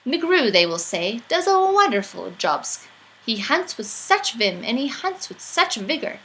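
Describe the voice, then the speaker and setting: A woman's voice: on the high side, sing-song, a little slow, fairly bright tone, slight background noise, rough recording. A woman reading a rhyming children's picture book aloud in a playful, theatrical storytelling manner.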